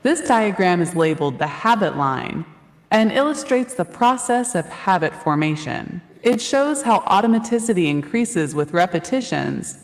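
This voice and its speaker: A woman-voiced calm AI assistant. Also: lowish voice, moderate pace. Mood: informative. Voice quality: even, polite, precise synthetic delivery. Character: calm helpful female AI assistant voice. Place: played through speakers in a large auditorium. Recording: echoey hall.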